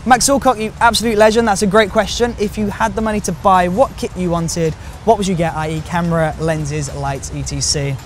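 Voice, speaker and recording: A high-pitched male voice speaks over prominent music. He sounds slightly croaky from a cold but stays chatty and encouraging as he takes viewer questions in a casual vlog style. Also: fairly even intonation, a little fast, few pauses. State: recovering from a cold. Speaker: video creator host answering viewer questions. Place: outdoors in public urban spots.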